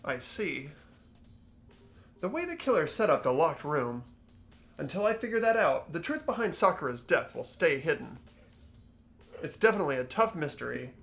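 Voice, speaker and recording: A man's voice: on the high side, a bit bright. A man voicing an earnest, curious and unsure teenage schoolboy in a fan-voiced mystery game, questioning and thinking aloud. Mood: earnest, resolved. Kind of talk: fan voice-over of a mystery visual novel.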